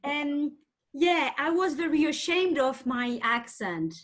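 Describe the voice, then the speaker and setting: A woman's voice: high-pitched, expressive, slow. A woman with a French accent sharing a short personal story as a participant in an online webinar, heard over a video call.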